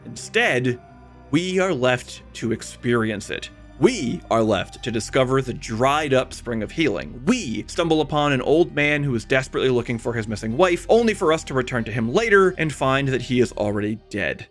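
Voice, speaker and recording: A man's voice: medium pitch, lively intonation, moderate pace, soft background music. A man delivering a scripted video essay about games, with emphatic, opinionated, conversational phrasing and casual asides.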